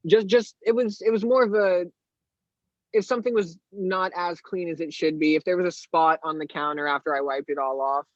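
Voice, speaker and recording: An eighteen-year-old man with a higher-pitched voice, a guest on a podcast, talking candidly and rambling with lots of fillers. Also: fairly even intonation, a little fast, no room sound, muffled recording. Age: teens. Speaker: guest artist. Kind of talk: casual interview chat.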